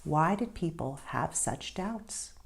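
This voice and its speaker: A woman author reading a story aloud in an expressive, deliberate narrating style with emphatic stresses. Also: low voice, creaky.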